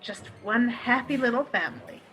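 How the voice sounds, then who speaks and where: A woman's voice: fairly high pitch, lively intonation, normal speed, a bit bright, low-quality recording. A woman playing a modest, guarded wife of a cinema owner in a radio play, polite and understated.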